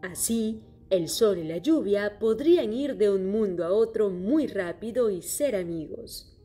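A woman reading a children's story aloud in a clear, steady storytelling manner. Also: mid-range voice, a little slow. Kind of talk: scripted children's story narration. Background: soft music.

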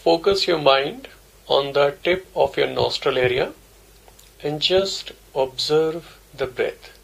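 A man's voice: fairly high pitch, slow pace, gravelly, fairly bright tone, slightly rough, low-quality recording. A man teaching a guided meditation, giving simple instructions in short, repeated phrases. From India.

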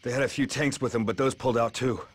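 An actor playing a plain-spoken, weary American glider pilot with a husky voice in a video game cutscene. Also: male voice, medium pitch, steady tone, quick pace, natural voice. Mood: relieved, practical.

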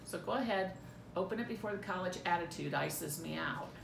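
A middle-aged woman doing a dramatic read-through of a script as a mother, recorded indoors at home. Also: a little fast. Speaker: amateur reader in a family script reading. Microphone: camera a few feet away. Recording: roomy echo, clear low rumbling noise.

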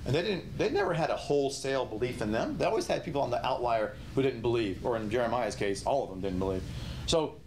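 A man's voice: medium pitch, lively intonation, fast talker, a little mellow. Man, middle-aged, Bible study teacher, emphatic, rhetorical questions, self-restarting, small room, faint background noise. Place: small indoor room with whiteboard.

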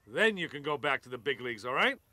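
Bright, hearty truck buddy. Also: male voice, medium pitch, sing-song, fast, dry recording. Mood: encouraging. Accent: American. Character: hearty, encouraging male semi truck. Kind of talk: animated video game acting.

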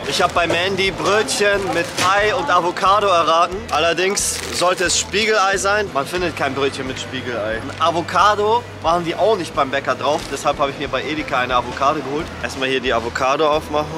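A young man speaks in a casual vlog style. His voice sits a little high, and music plays clearly behind him. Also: a little fast, few pauses. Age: young adult. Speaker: video host. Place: out on city streets and inside a supermarket. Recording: music running under the voice. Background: music, street sounds.

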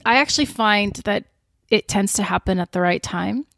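A woman's voice: mid-range voice, normal speed, gravelly, fairly bright tone, dry recording, studio quality. A young woman hosting a podcast, reflective and chatty, laughing often. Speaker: podcast host. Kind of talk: casual interview chat.

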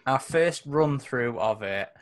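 A man with a medium-pitched voice works as host of a gaming stream. His style is casual and conversational, recorded over a video call headset. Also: expressive, slow pace. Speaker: podcast host.

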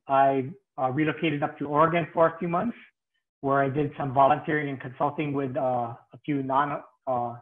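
A middle-aged man from Hawaii, a field veterinarian presenting over a video call, hesitant with many fillers. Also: medium pitch. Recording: tinny phone-like call audio.

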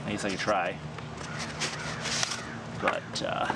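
A man's voice: low voice, flat delivery, takes it slowly. A man in his thirties casually talking through his hiking gear in a sunny backyard, thinking aloud with fillers and small laughs. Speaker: hiking vlogger. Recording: outdoor noise behind the voice.

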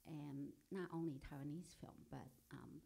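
Very low gravelly woman's voice. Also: fairly even intonation, dark tone. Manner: hesitant, many pauses and ums. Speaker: film director on a panel. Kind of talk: panel discussion answer. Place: theater stage before an audience. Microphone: handheld mic.

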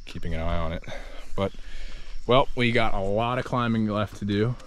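A man's voice: fairly low pitch, moderate pace. A man in his twenties chatting to an action camera while hiking a rainforest trail. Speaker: travel vlogger. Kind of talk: casual hiking vlog. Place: outdoors on a rainforest volcano trail. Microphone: action camera held at arm's length. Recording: faint outdoor noise.

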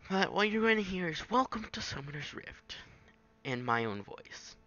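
A man giving a casual, improvised software tutorial in a halting, self-deprecating way on a home microphone. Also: sits high, sing-song, dull audio. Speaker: tutorial maker. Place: at a home computer, screen recording.

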